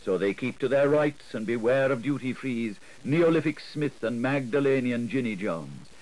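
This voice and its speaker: Low-voiced man reading dramatically, swooping pitch. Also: a little hiss or hum, poor audio. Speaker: audiobook narrator. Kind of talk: literary audiobook reading, theatrical scripted narration.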